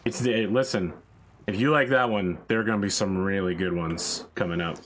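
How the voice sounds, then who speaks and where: Enthusiastic low-voiced man, swearing freely. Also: brisk, a bit bright, a little hiss or hum. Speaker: streamer and co-host. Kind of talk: casual chat while gaming.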